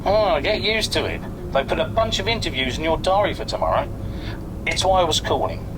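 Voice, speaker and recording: A man's voice: fairly high pitch, animated, a little fast, noisy background, natural voice. A man playing a chummy, jovial racing team boss in a video game, breezy and teasing in manner. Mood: teasing, upbeat. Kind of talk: cinematic video game dialogue.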